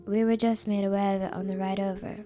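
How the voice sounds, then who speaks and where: Woman playing a composed, even-toned vampire councilwoman. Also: medium pitch, soft background music, close to an everyday voice. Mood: calm, grave. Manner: formal, measured, composed. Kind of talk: scripted roleplay voice acting.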